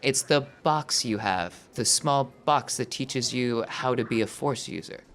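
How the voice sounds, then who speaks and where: A man voicing a weary, determined woman rebel in a tabletop game, speaking urgently and haltingly. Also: mid-range voice, a bit bright, a little hiss or hum.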